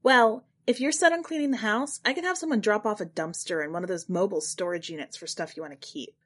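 A woman reader voicing a brisk, exasperated female character in a short story, blunt and emphatic. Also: mid-range voice, fairly bright tone, close to an everyday voice. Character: brisk, exasperated woman helping with a house clearance.

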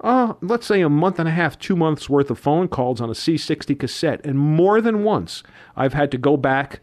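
A man in his fifties with a slightly high voice holds forth on a radio talk show. He reminisces at length and rattles off dates, prices and figures like a lecturer. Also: lively intonation, moderate pace, darkish tone. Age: 50s.

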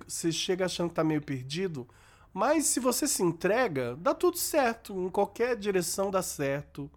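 Man, Brazilian, podcast co-host, camp, teasing, a little high-pitched. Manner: playful, camp, laughs often. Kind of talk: campy casual chat.

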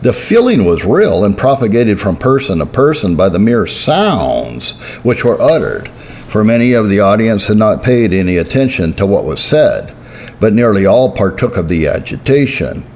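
A man giving a solemn, deliberate reading of historical religious writing, slowing down to stress key phrases. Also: fairly low pitch, big pitch swings, a little mellow, a little hiss or hum. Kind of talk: measured scripted reading.

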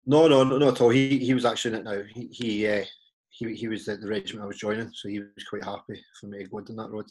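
A man in his forties with a Glaswegian Scottish accent and a fairly low voice talks through his past. He stops and restarts often, doubles his words and fills the gaps as he goes. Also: steady tone, darkish tone, husky, poor audio. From Scotland. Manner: halting, repeats words, many fillers. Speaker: podcast guest. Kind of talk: casual interview chat.

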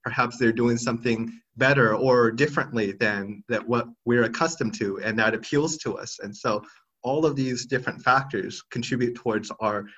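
A man's voice: mid-range voice, normal speed, fairly bright tone, slightly rough. Thoughtful man in his thirties, muffled audio. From Thailand. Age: 30s. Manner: thoughtful, hesitant, many restarts and fillers. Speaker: podcast guest. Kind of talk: reflective interview answers.

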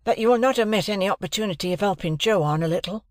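A man's voice: high-pitched, very fast, no echo, clean studio sound, voice pushed up, natural voice. A man voicing an earnest young man with new prospects, speaking in a somewhat formal, eager way in a storybook reading.

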